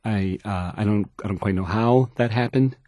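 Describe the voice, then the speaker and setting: An actor playing a nervous, bumbling, insecure young radio DJ in a game, hesitant and stammering through the news. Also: male voice, on the low side, moderate pace, dark tone, no room sound, studio quality, natural voice. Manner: stammering, hesitant, self-doubting. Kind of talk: halting radio news announcing.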